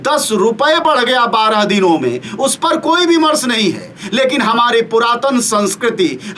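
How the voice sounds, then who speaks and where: A man's voice: sits high, sharp tone, a little hiss or hum. A man delivering spoken opinion commentary as a news commentator, pressing pointed rhetorical questions with a sarcastic, scornful edge.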